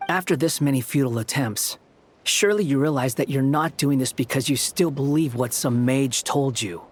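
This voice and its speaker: A man's voice: medium pitch, normal speed, slight background noise, close to an everyday voice. An actor playing a blunt, aloof young man in a fantasy game, with curt, dry, challenging delivery. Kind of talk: scripted game dialogue.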